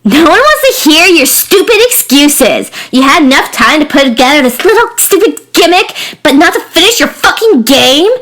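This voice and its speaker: A woman's voice: sits high, sing-song, normal speed, hardly any pauses, a bit bright, voice pushed up. A woman voicing a bratty, foul-mouthed, sneering game mascot who scolds and mocks. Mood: scornful.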